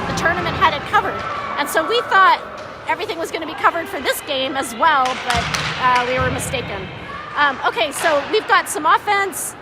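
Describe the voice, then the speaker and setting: A woman giving informal, halting live hockey commentary as an unsure, self-deprecating amateur, with a slightly scratchy voice. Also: sits high. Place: indoor ice hockey arena. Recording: music and crowd noise behind, arena. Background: music and crowd.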